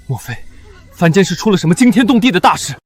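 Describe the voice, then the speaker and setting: A man's voice: high voice, very animated, quick pace, soft background music. An actor playing a composed male immortal attendant who speaks formally and solemnly in a costume drama.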